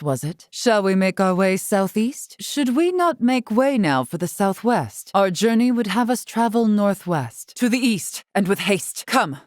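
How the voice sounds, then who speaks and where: A woman's voice: mid-range voice, a little mellow, no echo, clean studio sound. An actress playing a dignified, formal woman warrior-scholar in a video game, speaking in stately, archaic phrasing. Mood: brisk, commanding. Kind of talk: scripted video game character lines.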